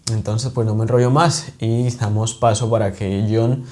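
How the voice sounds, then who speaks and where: A man's voice: on the low side, steady tone, moderate pace, darkish tone. A man hosting a podcast interview in a casual, joking way. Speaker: podcast host.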